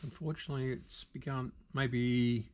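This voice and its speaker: An Australian man giving casual, unscripted hands-on repair commentary, halting now and then as he thinks aloud. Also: medium pitch, fairly even intonation, darkish tone. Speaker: video host, radio repairer. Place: indoor workshop.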